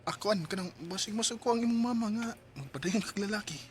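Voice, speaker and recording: An actor playing an eager, bashful young suitor in a radio drama, wooing hesitantly with a Cebuano Filipino accent. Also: male voice, high-pitched, lively intonation, quick pace, a little hiss or hum.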